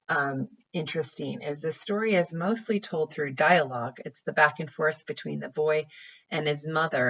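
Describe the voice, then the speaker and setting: A woman with a low voice speaks as a teacher, in the manner of story time and informal explanation. The recording sounds a little dull. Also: creaky. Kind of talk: story reading and informal lesson commentary.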